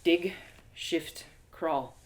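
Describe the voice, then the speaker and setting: A woman giving a theatrical, expressive dramatic reading of a story as its narrator. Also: deep voice, extremely slow. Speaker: author performing her audio drama. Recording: roomy, echoey sound.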